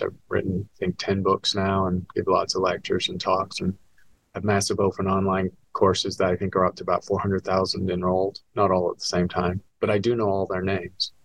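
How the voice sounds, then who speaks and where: A man's voice: deep voice, gravelly, a bit bright, no room sound, low-quality recording. A man in his sixties, originally from Scotland, explaining things fluently as an academic guest in an interview. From born in Scotland, raised in England and the US. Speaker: astronomy professor, author, podcast guest.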